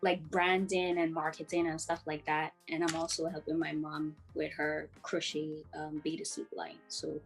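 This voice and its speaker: A woman, introducing herself casually on a video call, relaxed and easygoing. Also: deep voice, moderate pace, hardly any pauses, faint music behind. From Guyana. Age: 20s. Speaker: guest.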